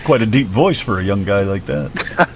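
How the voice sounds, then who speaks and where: A man's voice: on the low side, sing-song, normal speed, over a noisy crowd. A man hosting a casual radio interview, teasing his guest in a joking, easygoing way. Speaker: radio host.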